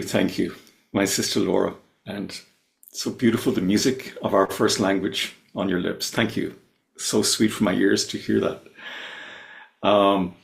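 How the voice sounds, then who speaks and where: A low, gravelly Irish man speaks with measured reverence and lingers on the words that matter to him. He comes over a video call from an echoey room. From Ireland. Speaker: invited speaker. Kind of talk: slow, reverent spiritual talk. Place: his home, on a video call.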